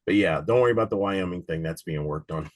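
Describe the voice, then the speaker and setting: Raspy-voiced man teaching an exam class. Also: deep voice, fast talker, dry recording. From Cincinnati, USA. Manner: casual, rambling, many fillers and restarts. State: raspy from a cold. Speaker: insurance exam instructor. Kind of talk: live online study session.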